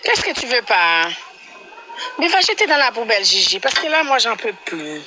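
A woman's voice: a little high, big pitch swings, normal speed, very noisy. Haitian woman chatting on a phone livestream. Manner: thanks viewers by name, chatty. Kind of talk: casual live chat with viewers. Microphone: phone at arm's length.

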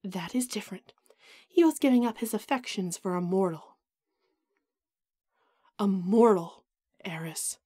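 A woman's voice: medium pitch, measured pace, long pauses, warm tone, slightly rough, no echo, studio quality. A woman playing a vain, haughty goddess in an audio roleplay drama, melodramatic and put-upon with a whiny edge. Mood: offended.

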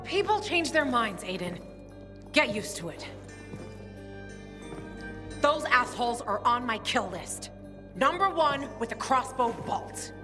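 An actress playing a tough, vengeful, defiant young female fighter in a video game. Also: fairly high pitch. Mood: defiant, cold. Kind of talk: dramatic video game acting.

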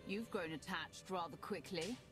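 A woman's voice: on the low side, moderate pace, lightly acted. An actress playing a cool, self-assured female warrior heroine in a video game. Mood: cool, calm. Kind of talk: video game dialogue acting. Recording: game audio with music.

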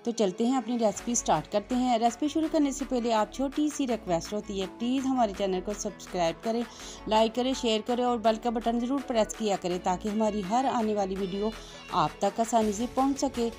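A woman's voice: on the high side, fairly quick, darkish tone, soft background music. A woman, hosting a cooking channel and narrating each step politely in a voice-over. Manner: step-by-step, polite, religious greetings.

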